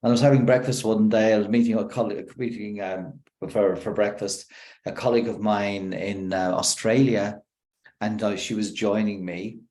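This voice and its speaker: Irish man with a lowish voice. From Ireland. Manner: lively, shifting between quick bursts and deliberate pauses. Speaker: professor giving a communication workshop. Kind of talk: conversational training talk.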